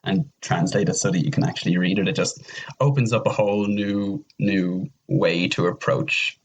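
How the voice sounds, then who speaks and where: A man's voice: deep voice, fairly even intonation, normal speed, lo-fi sound. A young Irish man speaking casually as a podcast guest, rambling and repeating his words as he goes. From Ireland. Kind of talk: casual interview chat.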